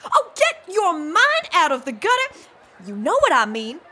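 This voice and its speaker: A feisty pie baker in an audio comedy. She is bossy and defiant, with a very high, bright voice that jumps about in pitch. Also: wildly swooping pitch, a little slow, exaggerated voice. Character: feisty, bossy woman competing in a pie contest.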